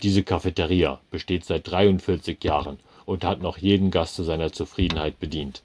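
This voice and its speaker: A man playing a burly, cigar-chewing cafeteria manager who talks in a loud, pompous, bullying bluster. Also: sits low, steady tone, normal speed, a little hiss or hum, lightly acted.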